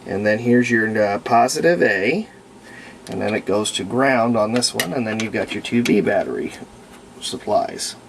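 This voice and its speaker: A man giving a casual, unscripted hands-on demonstration as a hobbyist restorer, thinking aloud in an unhurried way. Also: on the low side, expressive, gravelly, a little hiss or hum. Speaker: hobbyist restorer of antique radios, presenter.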